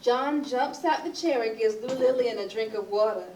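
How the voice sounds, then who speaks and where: A woman's voice: a little high, normal speed, dark tone. Woman narrating, distant and echoey. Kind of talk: scripted narration of stage directions. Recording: echoey, distant, noisy stage recording.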